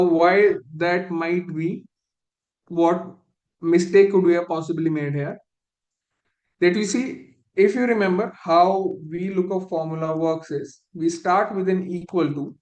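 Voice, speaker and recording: A man's voice: high voice, darkish tone, echoey room. A man instructor leading a live online spreadsheet lesson, explaining step by step and often restarting his phrases.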